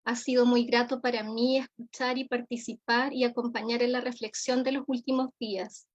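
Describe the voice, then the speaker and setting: A woman with a Chilean accent delivering a formal keynote lecture as a psychologist, in measured, solemn, deliberate phrasing. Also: fairly high pitch, flat delivery. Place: remote online conference. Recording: dull, lo-fi audio.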